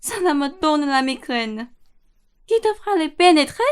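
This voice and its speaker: Capricious rich old dowager played by a woman, high and slow-paced, imperious, theatrical radio comedy. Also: expressive. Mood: agitated, imperious. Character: bossy, capricious, bored rich old lady. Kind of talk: stage-comedy radio play acting in French.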